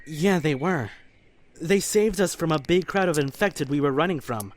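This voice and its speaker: A woman's voice: low-pitched, expressive, moderate pace. Woman voicing young male apocalypse survivor, solicitous, earnest, hesitant, audio drama, lightly noisy recording. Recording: slightly noisy amateur recording with ambience.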